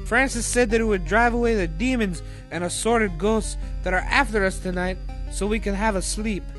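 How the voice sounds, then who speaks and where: A man's voice: high-pitched, normal speed, a bit bright, faint music behind. A man playing a helpful groundskeeper sidekick in a comedic audio drama, speaking plainly and matter-of-factly. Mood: explanatory.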